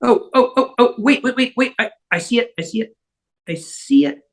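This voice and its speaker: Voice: medium pitch, lively intonation, moderate pace, close to an everyday voice. A reader in an amateur table read over a video call, playing an earnest, fumbling man who speaks hesitantly. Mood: flustered. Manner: hesitant, muttering repetitions.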